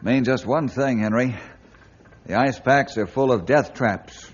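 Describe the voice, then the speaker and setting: An actor playing a steady, knowledgeable ranger in a 1950s radio drama, earnest and plain-spoken. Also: male voice, medium pitch, a little slow, a little hiss or hum, lightly acted. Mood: grave. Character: steady, knowledgeable male ranger hero, grave and courteous. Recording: dull old radio recording with music.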